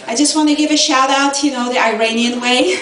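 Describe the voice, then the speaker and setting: A woman's voice: high-pitched, steady tone, a little fast, heavy background noise. A middle-aged woman from Iran doing a stand-up comedy set, sassy and laughing at her own jokes. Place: comedy club stage. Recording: echoey club from far off. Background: audience laughter.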